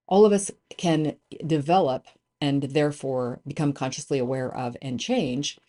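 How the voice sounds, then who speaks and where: A woman with a low-pitched voice hosts a business podcast. She speaks emphatically and conversationally, often pausing on fillers and restarting her sentences. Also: dark tone. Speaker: podcast host. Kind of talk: podcast intro and interview.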